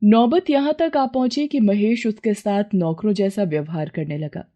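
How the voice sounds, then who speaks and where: A woman narrating a scripted cartoon moral story in a steady, measured way. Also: medium pitch, lo-fi sound.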